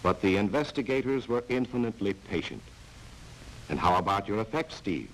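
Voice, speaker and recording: A taunting, sardonic narrator of a 1940s spy training film. His low voice swings dramatically up and down as he speaks in measured phrases. A faint hiss from the old soundtrack sits behind him. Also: animated, rough recording. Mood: methodical, wry.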